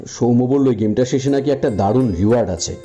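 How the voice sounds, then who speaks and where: A man voicing an ambitious, money-hungry young husband in a cartoon, with an expressive, grumbling delivery. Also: medium pitch, quick pace, faint music behind, natural voice. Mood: absorbed, casual. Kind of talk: animated cartoon dialogue in Bengali fairy tale.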